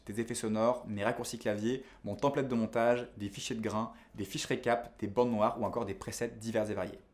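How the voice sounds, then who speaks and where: Guy, course teacher doing a scripted promo, talks really fast. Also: mid-range voice, darkish tone. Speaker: video course instructor and founder. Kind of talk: scripted promotional narration to camera.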